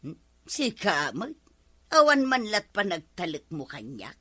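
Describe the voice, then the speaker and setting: A woman's voice: lowish voice, wildly swooping pitch, measured pace, bright, forceful tone, breathy edge, no room sound, clean studio sound, heavily acted. A woman playing a grumbling older village woman in an Ilocano comedy drama. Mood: complaining.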